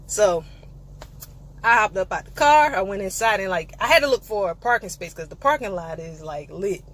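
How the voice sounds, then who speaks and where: Young woman, lively sassy vlog storyteller. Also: medium pitch, normal speed, slight background noise. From eastern North Carolina. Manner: animated, playful storyteller with sassy asides. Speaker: vlogger.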